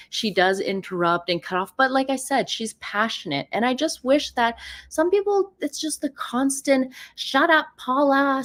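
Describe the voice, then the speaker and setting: A woman whose first language is Persian, hosting a livestream in a chatty, animated way, reading viewer comments and laughing often. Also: on the high side. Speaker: livestream host.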